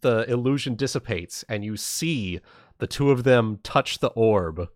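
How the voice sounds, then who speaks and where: A man narrating a tabletop game as game master, halting and repeating phrases as he improvises, with faint music behind him. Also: medium pitch, animated, dry recording, studio quality.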